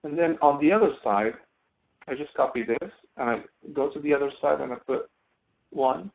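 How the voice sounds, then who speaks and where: A man teaching a live online software lesson, explaining step by step in a halting way as he demonstrates. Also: on the high side, creaky. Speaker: instructor. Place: online screen-share session. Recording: thin phone-like audio.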